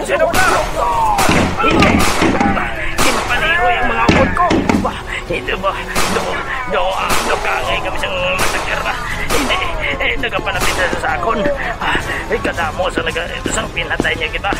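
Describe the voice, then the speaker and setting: A man with a Western Visayan Filipino accent playing a terrified henchman in an audio drama, stammering, halting and repeating himself as he pleads. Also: high voice, rough recording. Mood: panicked. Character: terrified henchman guarding a captive, cowed and pleading. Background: loud music.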